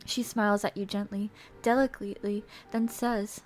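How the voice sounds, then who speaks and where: A woman streamer reading a visual novel aloud as theatrical storybook narration, expressive and playful. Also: mid-range voice, moderate pace. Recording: music behind the voice.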